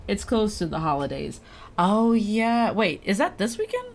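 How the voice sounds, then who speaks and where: A woman's voice: mid-range voice, very animated, moderate pace. A woman chatting casually on a gaming livestream, with playful, rambling commentary.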